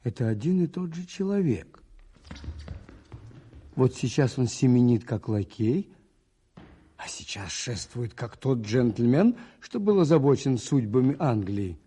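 An actor playing a thoughtful, observant priest detective in a radio play, musing aloud with a husky, mellow voice. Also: male voice, medium pitch, big pitch swings, a little slow. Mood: puzzled, musing. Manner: musing, questioning himself aloud. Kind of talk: radio play narration and monologue.

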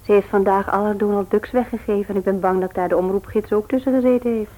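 A female radio play actor playing a sympathetic, inquisitive wife and mother in a gentle conversational manner. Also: medium pitch, steady tone, quick pace, close to an everyday voice, poor audio. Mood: relaxed. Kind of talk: naturalistic Dutch radio drama acting.

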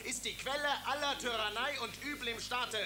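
A man's voice: very high-pitched, a little fast. An actor playing a fiery male radical, declaiming his manifesto fervently in a radio drama.

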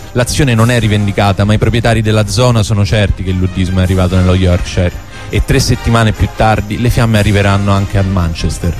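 A man narrating a historical radio drama from a script. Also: lowish voice. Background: music under the voice.

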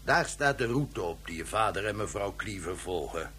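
A man's voice: on the low side, animated, normal speed, pushed and bright, slightly rough, exaggerated voice. An actor playing a pompous, long-winded male official who gives solemn, ornate speeches in a radio play. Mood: kindly. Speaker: dignitary giving a ceremonial speech.

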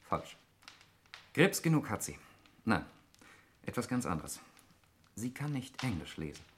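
An actor playing an irritable, suspicious lawyer in a 1960s German radio murder mystery, speaking in a precise, argumentative way. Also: male voice, fairly low pitch, sing-song, unhurried, a bit bright. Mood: dry, certain. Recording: noisy 1960s radio recording with clicks.

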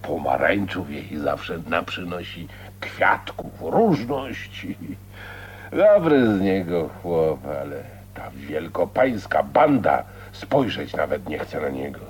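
An actor playing a gruff, foul-mouthed old working man in a radio drama, grumbling earthily in rustic Polish dialect. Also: deep voice, big pitch swings, slow, a little hiss or hum, full character voice. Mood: scornful, grumbling.

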